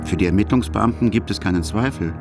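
A man's voice: lowish voice, fast, over music. A man reading scripted narration for a radio documentary.

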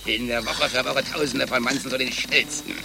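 A man's voice: mid-range voice, steady tone, moderate pace, gravelly, very noisy, close to an everyday voice. A man playing a boastful, jealous, taunting megalomaniac villain in a satirical radio play, with theatrical outbursts. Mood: smug, gloating. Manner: theatrical, boastful, swinging between muttering and outbursts.

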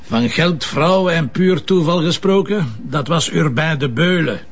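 An actor with a Flemish accent playing a wary police commissioner in a naturalistic radio drama, dry and colloquial. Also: male voice, on the high side, animated, a little slow, vocal fry, husky, exaggerated voice. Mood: brisk, bemused. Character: male police commissioner, wary and dryly polite. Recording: dull, band-limited radio drama recording.

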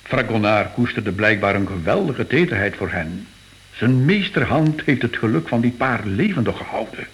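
An actor playing an emotional, awestruck art lover in a radio drama, exclamatory. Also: male voice, mid-range voice, poor audio.

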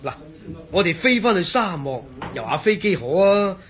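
A man's voice: high-pitched, big pitch swings, normal speed, a bit bright. An actor playing a jovial, boastful hard-drinking engineer in a Cantonese radio drama, often laughing as he talks. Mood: joking, reluctant. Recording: crowd chatter behind voice.

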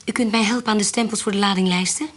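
A woman's voice: mid-range voice, fairly even intonation, very fast, lightly acted. An actress playing a cunning young woman who poses as a widowed baroness, poised and politely formal, in a radio drama. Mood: poised, demure.

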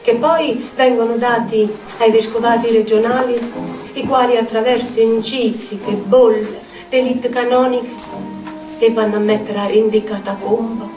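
An actress playing a tormented, eccentric Neapolitan outcast haunted by spirits, in a theatrical monologue with a Neapolitan accent and incantatory, deliberate repetitions. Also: female voice, fairly high pitch, fairly even intonation, mellow, natural voice. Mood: sardonic musing. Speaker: stage actress. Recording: echoey theatre audio, distant, music behind.

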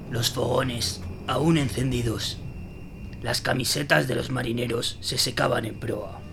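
Casting: haunted old sea captain, creaky gravelly voice, brooding suspenseful storytelling, radio drama with prominent music. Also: male voice, mid-range voice. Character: haunted old sea captain recounting a ghost ship tale. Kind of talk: dramatic first-person storytelling in an audio drama.

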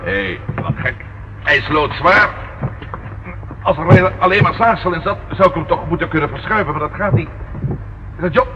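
A curious, puzzled policeman in a radio drama, acted by a man. His intonation moves around a lot at a middle pitch. The old recording is dull and noisy. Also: animated, normal speed, long pauses, close to an everyday voice. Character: puzzled, curious male police colleague searching. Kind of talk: radio play acting.

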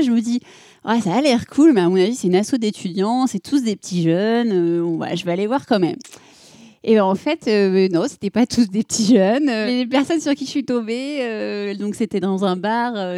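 Woman, late 30s, halting chatty storytelling, mid voice, dry close mic. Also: mid-range voice, a little mellow, no room sound. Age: 40s. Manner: hesitant, many fillers and restarts. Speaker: board game club member, guest. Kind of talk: casual panel discussion.

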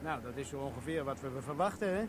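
A man's voice: mid-range voice, animated, fast talker, noisy background, natural voice. An actor playing an eager army corporal who prods his sergeant along, in a radio play.

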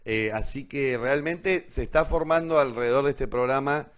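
Argentine guy hosting a live online show, chatty and rambling, stops and restarts a lot. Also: medium pitch. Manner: rambling, halting, self-correcting. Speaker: host of an online radio theatre show.

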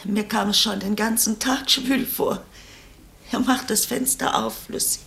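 A woman's voice: fairly high pitch, fairly even intonation, a little slow, gravelly, a bit bright. An actress in a German radio play playing a practical, dismissive middle-aged mother who likes order and speaks matter-of-factly.

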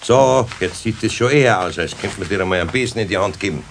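A man playing a patient, gruffly kind older carpenter with a husky voice and Bavarian accent, admonishing in a homely way in a radio play. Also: lowish voice, lively intonation, fast talker, a little hiss or hum. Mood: firm, dry. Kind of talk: 1970s German children's radio play acting.

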